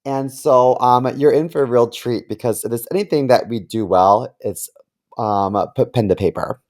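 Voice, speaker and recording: A man's voice: mid-range voice, moderate pace, darkish tone. A man co-hosting a comedy podcast in casual banter, joking in a dry, mock-boastful way. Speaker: podcast co-host.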